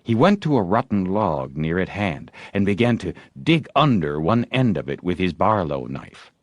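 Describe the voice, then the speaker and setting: A man narrating a classic story as an audiobook, with expressive, theatrical storytelling. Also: low voice, moderate pace, no echo. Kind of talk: dramatic literary audiobook narration.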